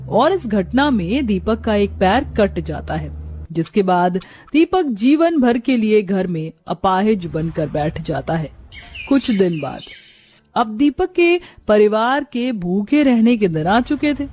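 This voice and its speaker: A woman's voice: mid-range voice, darkish tone. A woman narrating a scripted moral story as its storyteller.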